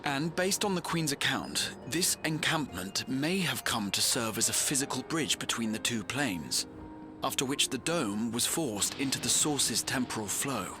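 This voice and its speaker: An actor playing a thoughtful, cautious scholar adventurer in a video game, measured and precise, with music behind him. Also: male voice, medium pitch, animated, lightly acted. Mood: pensive. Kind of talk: scripted video game voice acting.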